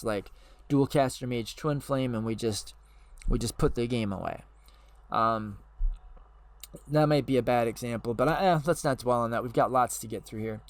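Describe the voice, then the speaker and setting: A man hosting his own channel, hoarse and losing his voice, talking casually and unscripted with frequent fillers and restarts. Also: mid-range voice. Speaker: channel host.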